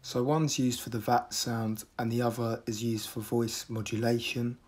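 A man from the UK narrating a casual product review in a measured, deadpan manner. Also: medium pitch, breathy edge. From United Kingdom.

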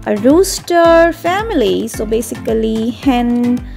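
A woman narrating a step-by-step drawing tutorial for children in an unhurried, explanatory way. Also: fairly high pitch, lively intonation, creaky, darkish tone, faint music behind.

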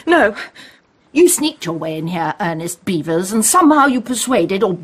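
A woman's voice: medium pitch, sing-song, moderate pace, slightly rough. An actress playing a genteel, haughty older mother in a radio drama, airy, gushing and condescending. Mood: indignant. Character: Mrs Conway, genteel, impractical, haughty older widowed mother.

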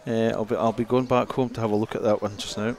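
A man's voice: on the low side, level tone, quick pace, a little mellow. A man giving conversational analysis as co-commentator on a live rugby match.

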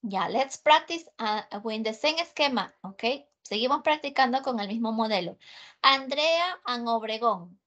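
A woman with a Peruvian Spanish accent teaching an online English class, repeating her instructions slowly and switching to Spanish. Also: on the high side, lively intonation, fairly bright tone. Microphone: video call. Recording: muffled video call audio.

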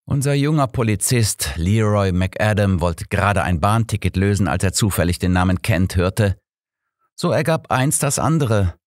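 A man's voice: fairly low pitch, animated, moderate pace, no echo, clean studio sound, voice pushed up, close to an everyday voice. A man voicing a proud, easily ruffled police inspector in an audiobook, blunt and emphatic. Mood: smug.

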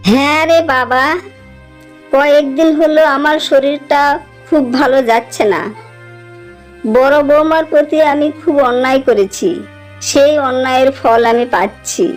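A woman's voice: sits high, a little slow, pauses often. A woman voicing a dramatic, admonishing old mother-in-law from a landlord's household in a cartoon moral story. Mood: weak, remorseful. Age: older. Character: stern old landlord-family mother-in-law, later frail and remorseful. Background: background music.

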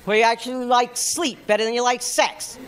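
An older man from New York City doing stand-up comedy, emphatic and animated, performing to a crowd. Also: very high-pitched, brisk. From New York City, United States. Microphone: stand microphone on stage. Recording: noisy comedy club with audience.

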